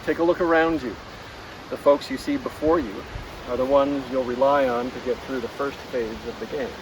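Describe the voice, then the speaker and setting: A man's voice: medium pitch, steady tone, moderate pace. A man reading a game welcome note aloud to a group of players. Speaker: reads game instructions to players. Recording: outdoors, distant mic, noisy.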